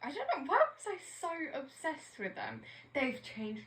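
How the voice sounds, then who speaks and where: A woman co-hosting a podcast in casual chat, hoarse from losing her voice, chatty and quick to argue a point. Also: sits high, sing-song, fairly bright tone, slight background noise. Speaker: podcast co-host.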